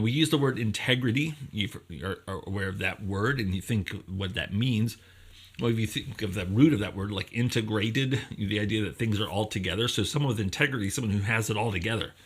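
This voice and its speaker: Male lecturer, fairly low and noticeably husky, explains ideas conversationally, thinking aloud and questioning as he goes. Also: fairly bright tone. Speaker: professor lecturer.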